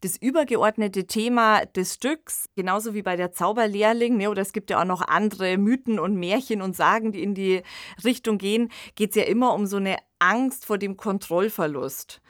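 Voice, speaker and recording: A woman speaks as a podcast co-host. Her voice sits at an average pitch, and she explains ideas in a reflective, conversational manner. The sound is dry, with no room around it. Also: moderate pace, a bit bright, no room sound.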